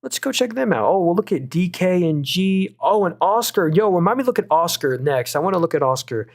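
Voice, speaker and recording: A man's voice: sits high, sing-song. A young man hosting a live stream, reading viewers' comments and talking through stock picks in a casual, upbeat way with lots of fillers. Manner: casual, upbeat, quick asides, frequent fillers and restarts. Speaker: stock market livestream host.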